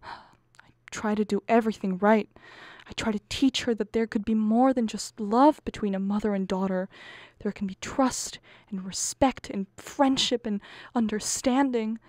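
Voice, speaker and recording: A woman's voice: mid-range voice, moderate pace, darkish tone, no echo, clean studio sound, lightly acted. A woman playing an anxious, bickering middle-aged wife and exasperated mother in a radio comedy play, pleading and arguing melodramatically. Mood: wounded, pleading. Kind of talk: stage comedy acting for radio.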